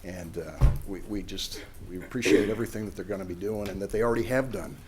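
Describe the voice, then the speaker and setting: A man's voice: sits low, fast talker. Man addressing a meeting, noisy room recording. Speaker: housing nonprofit representative. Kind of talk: public remarks at a council meeting. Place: public council meeting room.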